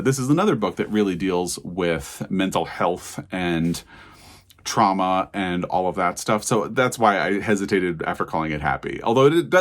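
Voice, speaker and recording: A man with a low-pitched voice hosts a casual book review. He speaks directly to viewers in a candid, conversational way and leans on his opinions with clear emphasis. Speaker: book reviewer and video host.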